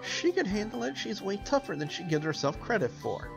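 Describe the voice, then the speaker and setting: Man putting on a high, flirty lady doctor. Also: very animated, a little fast, vocal fry, over music, exaggerated voice. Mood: seductive, playful. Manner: coy, breezy, teasing.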